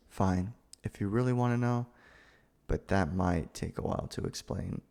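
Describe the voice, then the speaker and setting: A man's voice: sits low, creaky, a little mellow, full character voice. A young man playing a nervous, sweet, awkward roommate in an ASMR roleplay, stammering and hesitant. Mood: flustered, surprised. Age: young adult. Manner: flustered, stammering, hesitant, sighing. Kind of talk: ASMR boyfriend roleplay, acted monologue.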